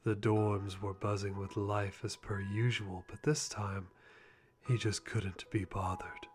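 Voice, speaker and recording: Slow mock-dramatic male reader. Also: lowish voice. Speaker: channel host reading a fanfic. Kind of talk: dramatic live read of fan fiction. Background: background music.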